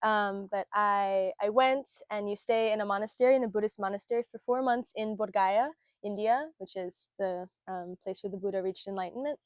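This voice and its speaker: A young woman in her twenties from Florida tells her story in an earnest, casual way. She pauses on fillers and laughs lightly between thoughts. The sound is a little muffled and very dry. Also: mid-range voice, dry recording. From Florida, United States. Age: 20s. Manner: earnest, lots of fillers and laughs, acts out quotes. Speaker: interviewee, Buddhist student. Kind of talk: casual spoken interview.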